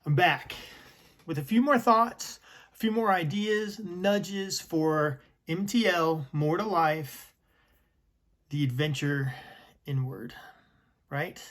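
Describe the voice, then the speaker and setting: A man in his early forties giving a slow, reflective personal talk, sometimes dropping to a near whisper. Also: fairly high pitch, a bit bright. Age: 40s. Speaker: vlogger.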